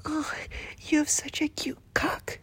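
A woman's voice: sits high, flat delivery. A woman as a whispering, doting nurse. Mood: whispery, doting. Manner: soft breathy whisper, slow and coaxing. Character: doting, flirtatious female nurse, motherly and teasing. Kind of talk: whispered ASMR roleplay.

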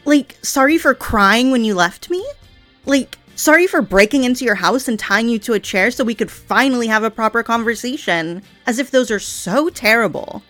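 A woman voicing an unstable, obsessive young woman in an audio roleplay, speaking in a breathy, sing-song coo that turns menacing. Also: medium pitch, expressive, unhurried, long pauses, faint music behind, close to an everyday voice. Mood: petulant.

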